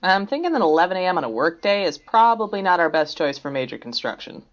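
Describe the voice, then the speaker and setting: A woman's voice: on the low side, very animated, fast, muffled recording. A woman playing a practical, level-headed skeptic in an audio drama, with a dry, matter-of-fact way of talking.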